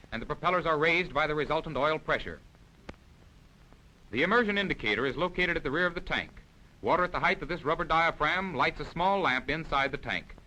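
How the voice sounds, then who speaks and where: A man's voice: on the high side, pushed and bright, rough recording. A man reading scripted narration for a 1940s military instructional film in a formal, precise, explanatory manner.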